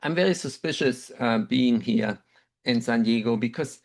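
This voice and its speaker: A middle-aged man with a German accent and German as his first language, telling a personal story in a measured, reflective way with frequent pauses and ums. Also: mid-range voice, vocal fry. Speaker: webinar speaker, dental researcher.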